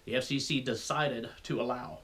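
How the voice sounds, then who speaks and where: A man's voice: medium pitch, fairly even intonation, normal speed, a bit bright. An American man giving an informal explainer to viewers in a folksy, conversational way with rambling asides. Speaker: radio hobbyist video host.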